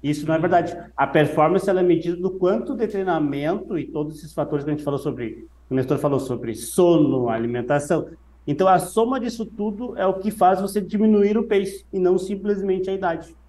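A man with a mellow, slightly high voice co-hosts a running podcast in a relaxed, conversational way. He explains his points patiently and repeats key phrases for emphasis. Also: a little fast, low-quality recording. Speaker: running coach, podcast co-host. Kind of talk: casual podcast discussion.

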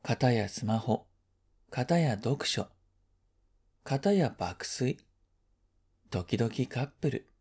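A man reading a first-person literary story as scripted narration, in a quiet, reflective, measured manner. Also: medium pitch, animated, breathy edge. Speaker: story reader.

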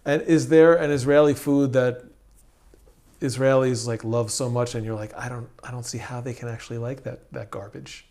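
Male interviewer, mid-pitched, chatty and upbeat host manner. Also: fairly even intonation, darkish tone. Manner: chatty, upbeat, quick to laugh. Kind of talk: casual interview conversation.

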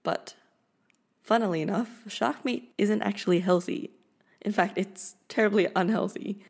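A woman's voice: medium pitch, a little slow, creaky, darkish tone, breathy edge. A young woman who speaks Filipino as her first language, hosting her own podcast in a chatty, informal way with light laughter. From Philippines. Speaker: podcast host. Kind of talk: solo podcast monologue. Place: Australia.

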